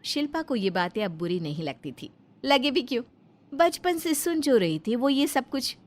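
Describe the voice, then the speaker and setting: A woman's voice: high-pitched, big pitch swings, moderate pace. A woman storyteller narrating a scripted moral story.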